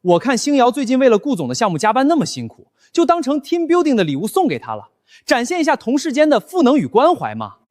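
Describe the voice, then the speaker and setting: A glib twenty-something office dude in a cartoon drama, super high voice, bouncing all over, explains way too much. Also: male voice, wildly swooping pitch, fast talker, a little mellow, heavily acted. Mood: glib, defensive. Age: 20s. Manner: glib, over-explaining, peppered with corporate buzzwords. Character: flustered, jargon-spouting young male office worker, eager to explain. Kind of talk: animated short-drama dialogue.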